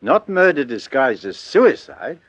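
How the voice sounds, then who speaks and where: An actor playing a bluff, genial English chief inspector in a hearty mid-century radio drama style. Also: male voice, mid-range voice, big pitch swings, unhurried, no room sound. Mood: hearty, amused. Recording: dull vintage radio recording.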